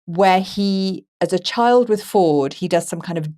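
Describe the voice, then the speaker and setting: A woman with a lowish voice speaks as a podcast co-host. She talks in a relaxed conversational style, thinking her points through as she discusses a film. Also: a little slow.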